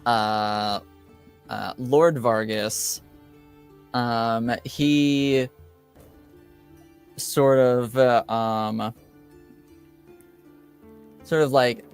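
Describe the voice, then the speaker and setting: A man narrating an improvised tabletop game as game master, halting and searching for his words. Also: medium pitch, steady tone, clean tone, faint music behind.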